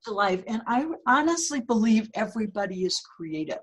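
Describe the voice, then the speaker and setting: An older woman with a low, earthy voice, talking as a guest in an interview, reflective and given to small laughs and restarts. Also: lively intonation, brisk. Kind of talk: casual interview conversation.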